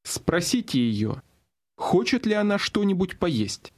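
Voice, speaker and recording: Male course narrator, fairly high and slightly rough voice, instructional delivery for audio lessons. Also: big pitch swings, slow pace.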